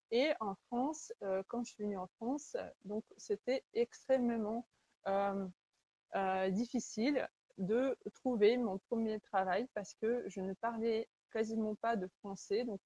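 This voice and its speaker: A woman's voice: medium pitch, warm tone, breathy edge, no room sound, poor audio. A woman, hosting a webinar in an earnest, conversational way and often searching for her words. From Kazakhstan. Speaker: webinar host, Salesforce consultant. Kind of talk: webinar presentation.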